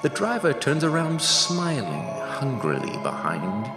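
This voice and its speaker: A man giving slow, menacing, theatrical scripted narration for a horror show. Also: medium pitch, wildly swooping pitch. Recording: roomy reverb with music.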